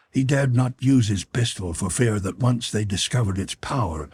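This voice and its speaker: A man reading a novel as an audiobook narrator, in measured, formal storytelling style. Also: lowish voice, a little mellow, slight background noise. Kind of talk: scripted literary narration.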